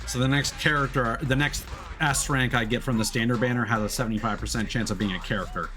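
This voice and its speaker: A man's voice: mid-range voice, fairly even intonation, fast. A man giving casual live gameplay commentary as a streamer, musing to himself and talking to the game. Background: game music.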